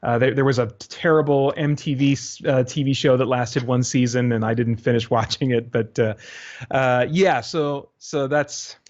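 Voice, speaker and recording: A man with a mid-pitched voice chats as a guest on a podcast. He is informal and easygoing, with a self-deprecating way of talking. The sound is a little dull and lo-fi. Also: fairly even intonation, moderate pace, a little mellow. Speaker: podcast guest, audio drama creator. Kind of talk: casual interview chat.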